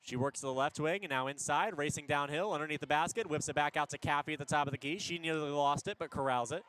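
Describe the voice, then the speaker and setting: A man doing energetic live radio basketball play-by-play in punchy short phrases, rising on big plays. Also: fairly high pitch, quick pace, clear, resonant tone. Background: basketball arena ambience.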